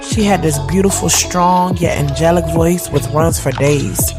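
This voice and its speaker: A woman's voice: low-pitched, measured pace, music in the background. A woman playing a sassy, candid thirty-year-old who tells her own story in slangy, conversational narration. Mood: fond, nostalgic. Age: 30s. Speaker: narrator and lead of an audio drama series. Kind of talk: first-person storytelling narration, conversational urban drama.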